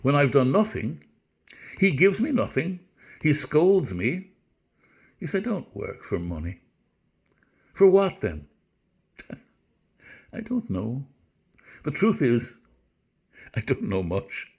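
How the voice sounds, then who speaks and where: A man's voice: mid-range voice, big pitch swings, takes it slowly, long pauses, darkish tone, slightly rough, voice pushed up, exaggerated voice. A man reading a novel dramatically as its weary, muddled narrator, in a put-on Irish accent with a plaintive, resigned manner. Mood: puzzled, plaintive.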